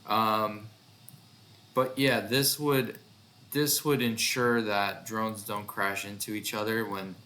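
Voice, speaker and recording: A man giving a casual demo of his own software project, thinking aloud in a hesitant way. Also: on the low side, steady tone, takes it slowly. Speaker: developer presenting own project. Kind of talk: casual screen-recorded demo narration.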